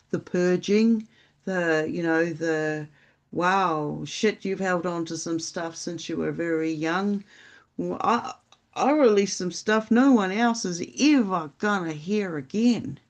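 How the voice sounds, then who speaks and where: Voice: medium pitch, a little slow. A podcast co-host talking in a casual, rambling and reflective way, with plenty of fillers. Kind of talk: casual spiritual podcast chat.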